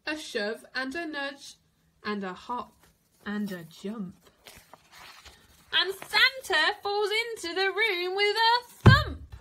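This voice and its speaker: A woman narrates a children's storybook in a high, expressive voice. She takes it very slowly and deliberately, phrase by dramatic phrase. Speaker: teacher reading a picture book aloud.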